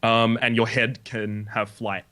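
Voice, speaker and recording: A man's voice: lowish voice, little pitch movement, a little slow, pushed and bright. A young Australian man, host of a gaming video, explaining item mechanics in casual chat and swearing now and then. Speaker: let's play host.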